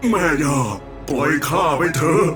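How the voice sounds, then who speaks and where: A man voicing an evil demon abbot in an animated horror story, with dramatic delivery and loud music underneath. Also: on the high side, close to an everyday voice. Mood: panicked pleading. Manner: menacing, slow, dramatic delivery. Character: evil demon abbot monk of a forest temple, cruel, contemptuous.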